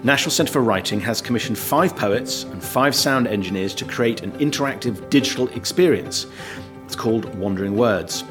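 A man's voice: mid-range voice, moderate pace. A man hosting a podcast, reading scripted announcements steadily with upbeat lifts on new items. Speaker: podcast host. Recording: guitar music playing underneath.

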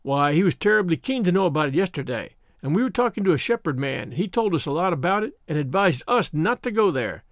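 A man's voice: on the high side, very animated, quick pace, few pauses, dry recording, clean studio sound. A man voicing an eager, respectful young schoolboy scout in a story, deferential and a little hesitant. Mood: anxious, respectful.

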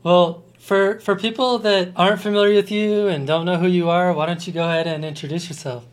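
A man's voice: high voice, steady tone, a little fast. A middle-aged man from Colorado hosting a podcast in casual, enthusiastic chat. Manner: enthusiastic, laughs easily. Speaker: podcast host.

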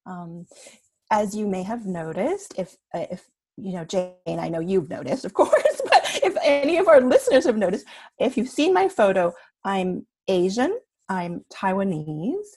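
A woman's voice: mid-range voice, sing-song, measured pace, darkish tone, slightly rough. A woman in her fifties from Canada, a coach speaking as a podcast guest, reflective and laughing often. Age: 50s.

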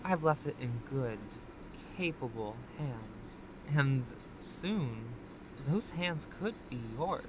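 An actress playing a smug, taunting corporate executive villain from a time-travel audio drama, theatrical and condescending. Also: female voice, a bass voice, lively intonation, slow pace, noisy background, full character voice. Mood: sly, persuasive.

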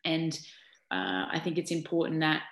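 A middle-aged Australian woman from Newcastle, a former basketball player, answering interview questions in a chatty, animated way with lots of ums and restarts. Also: sits low, moderate pace. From Newcastle, New South Wales, Australia. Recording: echoey room.

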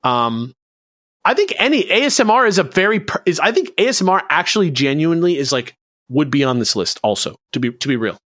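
A man's voice: on the high side, big pitch swings, brisk, fairly bright tone, dry recording, studio quality. A man hosting a podcast, explaining things in a casual, rambling, conversational way. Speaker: podcast host presenting a researched topic.

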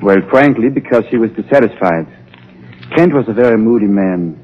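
A man's voice: lowish voice, lively intonation, moderate pace. A man playing a frank scientist in a 1930s radio drama, pondering as he talks, on a muffled old recording. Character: frank scientist doctor, startled but obliging man.

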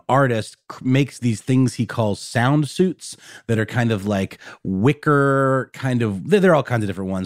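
A Southern American man with a lowish voice co-hosts a relaxed podcast, wandering through his points with plenty of ums and restarts. His voice is recorded close and dry, with no room sound. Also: no room sound. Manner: rambling, lots of fillers and restarts. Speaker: podcast co-host. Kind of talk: casual podcast conversation.

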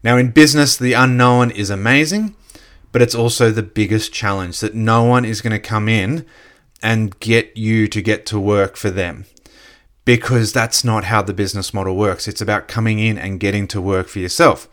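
Male coach, lowish voice, a bit croaky, relaxed filler-heavy motivational talk. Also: normal speed. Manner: casual, filler-heavy, lots of "you know". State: slightly croaky voice. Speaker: network marketing coach. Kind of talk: motivational solo podcast talk.

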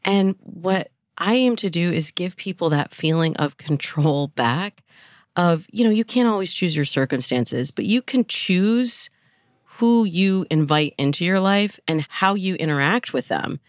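A woman giving articulate, measured explanations as a dating coach in a podcast interview. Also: lowish voice, animated, no room sound, studio quality.

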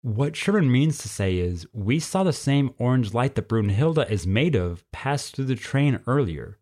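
A man's voice: mid-range voice, big pitch swings, a little slow, a little mellow. A man voicing a skeptical, cheeky young winged boy in a storybook, blunt and inquisitive in a casual way. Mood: probing. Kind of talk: storybook character voice.

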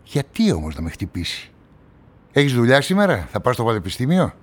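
An actor playing a brooding journalist and amateur sleuth in a radio play, measured and introspective. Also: male voice, medium pitch, sing-song, slightly rough. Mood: inquisitive. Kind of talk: radio play narration and dialogue. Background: music.

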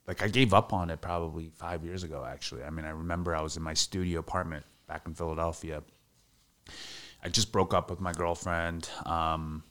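A man in his thirties talking candidly in a casual podcast interview, reflective and full of fillers. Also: low voice. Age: 30s. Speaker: podcast guest.

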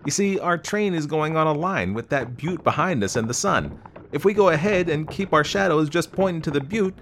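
Casting: brash young storybook gentleman played by a male reader, boyishly eager, teasing, pitched up over faint noise. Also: lively intonation, normal speed. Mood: urgent, confident. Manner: direct, teasing, scornful of caution. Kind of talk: dramatised storybook reading.

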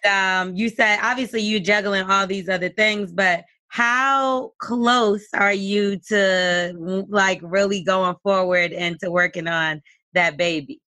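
A woman co-hosting a casual chat, laid-back and quick to laugh. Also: mid-range voice, fairly even intonation, bright, forceful tone, dry recording. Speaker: co-host.

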